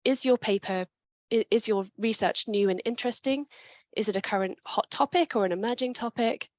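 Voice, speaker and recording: A woman presenting a webinar talk on writing papers, clear and methodical, with a chest infection coming on. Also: a little high, a little fast, no room sound, dull audio. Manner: clear, methodical, with small restarts.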